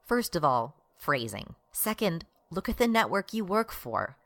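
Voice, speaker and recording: A woman's voice: lowish voice, very animated, a little slow, fairly bright tone, no echo, heavily acted. A woman acting as an exasperated, righteous progressive activist in a satirical radio drama.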